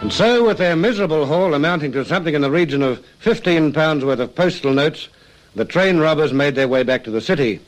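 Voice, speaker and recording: A man's voice: a little high, animated, a little fast, low-quality recording. A man narrating a scripted radio crime drama.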